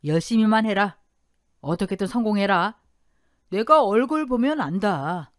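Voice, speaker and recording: A woman's voice: medium pitch, lively intonation, unhurried, dry recording, clean studio sound, lightly acted. A woman voicing a blunt but caring older father-in-law in a radio story drama. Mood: earnest. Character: gruff but warm-hearted older father-in-law, a restaurant owner.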